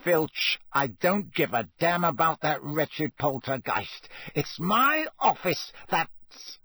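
Cold, bitter storybook schoolmaster played by a man: dangerous, clenched and hissing, higher-pitched, cleanly recorded. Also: animated, unhurried, slightly rough, dry recording, clean studio sound, heavily acted. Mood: irritated. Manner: hissing, soft, clenched, disdainful. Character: cold, bitter, dangerous male potions master.

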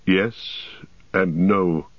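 A man voicing a grim, troubled male warrior who answers slowly and evasively, for a storybook. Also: on the low side, no room sound, lo-fi sound. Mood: guarded. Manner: slow, evasive, sombre.

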